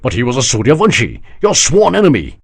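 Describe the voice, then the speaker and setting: A male narrator plays a proud army general in an audiobook, scornful and unbending. The voice is husky with a lively, swinging pitch. He speaks with flat certainty. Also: a little fast, heavily acted. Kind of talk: audiobook character voice.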